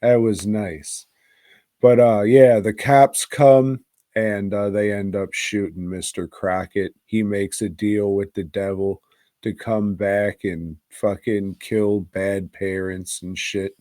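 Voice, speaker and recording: A man's voice: lowish voice, fairly even intonation, slow, warm tone, no room sound. A man hosting a casual movie review podcast, talking in a crude, slangy, rambling way with plenty of swearing.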